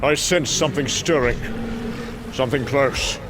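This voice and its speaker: A man playing gruff, battle-hardened Norse thunder gods in a fantasy audio drama, theatrical and declamatory with archaic phrasing. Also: mid-range voice, unhurried, noisy background, lightly acted. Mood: gruff, wry.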